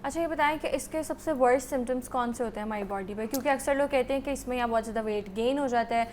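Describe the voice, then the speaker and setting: A woman with a Pakistani Urdu accent hosts a live call-in show in a high voice. She asks short, brisk questions and keeps her guest moving, with faint noise in the background. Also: a little mellow. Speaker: talk show host.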